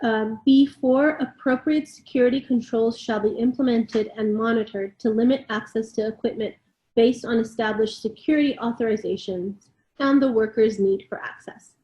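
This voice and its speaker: A woman's voice: on the high side, fairly even intonation, normal speed, dark tone, muffled recording. A woman reading formal written requirements aloud as the moderator of a discussion. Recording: roomy, echoing sound.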